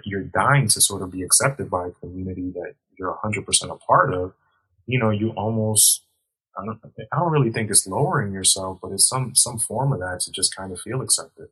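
Husky, bright-voiced man talking candidly. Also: sits low, low-quality recording. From Dominican heritage, grew up in the US. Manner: candid, reflective, casual slang, many restarts. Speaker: guest. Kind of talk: casual podcast conversation.

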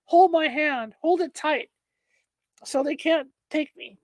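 A woman reading aloud and voicing a remorseful, frightened husband who begs and rasps. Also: sits high, slow, a little mellow, no echo. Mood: pleading. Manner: begging, rasping.

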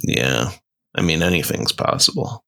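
A man's voice: a bass voice, sing-song, normal speed, creaky, sharp tone. A man co-hosting a podcast in casual chat, hesitant and full of fillers. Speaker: podcast co-host.

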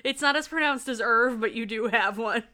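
A woman's voice: a little high, normal speed, bright, forceful tone. A woman with a Michigan accent, co-hosting a podcast in casual chat, teasing her friends and laughing often. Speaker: podcast co-host.

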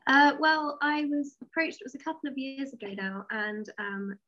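A woman's voice: high-pitched, normal speed, bright, forceful tone, muffled recording. A woman, an author being interviewed, chatting casually and telling anecdotes. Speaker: author and audio drama writer, interview guest.

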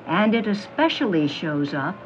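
A woman's voice: lowish voice, big pitch swings, moderate pace, lo-fi sound, a little hiss or hum. A woman playing a mysterious fortune teller in an audio drama, theatrical and knowing, with honeyed pet names. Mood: soothing, knowing.

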